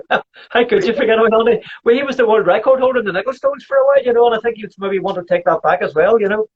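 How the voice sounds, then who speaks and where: Scottish man, 50s, host, high voice, raspy, muffled lo-fi sound, keen and agreeable. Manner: enthusiastic, quick to agree and laugh. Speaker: podcast host. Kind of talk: casual chat. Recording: muffled lo-fi audio.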